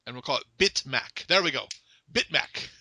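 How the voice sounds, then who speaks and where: Playful joking man, bright dry voice. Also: mid-range voice, expressive, normal speed, dry recording. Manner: playful, joking, frequent laughs. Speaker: podcast host. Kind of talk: casual chat.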